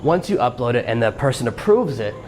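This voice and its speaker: A man of middle pitch hosts a solo podcast, walking listeners through each step in a practical, coaching manner. His voice sits at an everyday register, with a faint hiss behind it. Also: sing-song, brisk, darkish tone. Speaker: podcast host. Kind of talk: solo podcast advice talk.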